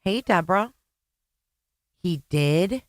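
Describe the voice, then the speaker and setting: A woman giving casual reaction commentary on old interview clips, chatty with quick asides. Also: on the low side, expressive, no room sound, studio quality.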